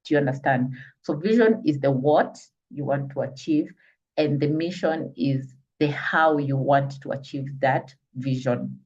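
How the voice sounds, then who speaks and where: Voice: medium pitch, a little slow, darkish tone. Kenyan accent trainer teaching online, kinda far from the mic, dull call audio, keeps asking "all right?". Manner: explains step by step, often checks in with listeners. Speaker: business trainer. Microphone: video call. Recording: distant, muffled call audio.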